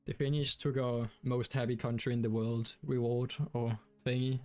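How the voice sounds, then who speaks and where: Relaxed Danish-accented man streaming. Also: mid-range voice, moderate pace, dry recording, soft background music. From Denmark. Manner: relaxed, rambling, many fillers like "like". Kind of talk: casual gaming stream chat.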